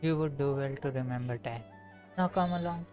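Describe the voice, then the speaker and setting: A woman's voice: a bass voice, fairly quick, lo-fi sound, soft background music. A woman with an Indian accent giving casual live game commentary as a streamer. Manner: switches between English and Hindi. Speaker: game livestreamer.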